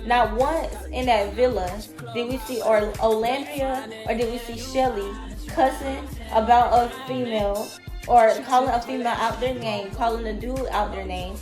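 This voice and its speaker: A woman who is a little sick and sounds raspy, giving blunt, emphatic opinions about a reality show straight to her viewers. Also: a little high, normal speed. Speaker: reality TV commentator. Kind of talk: opinionated vlog commentary. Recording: echoey room with loud music.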